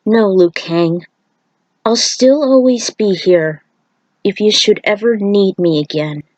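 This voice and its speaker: A woman's voice: mid-range voice, takes it slowly, darkish tone, dry recording. A woman voicing a solemn, fatherly male thunder god in a grave, formal story farewell. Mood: reassuring.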